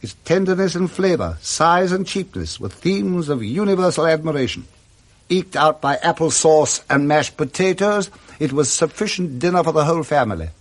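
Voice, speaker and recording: A man with a British accent narrating a literary story for radio drama, with expressive, measured dramatic phrasing. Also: on the high side.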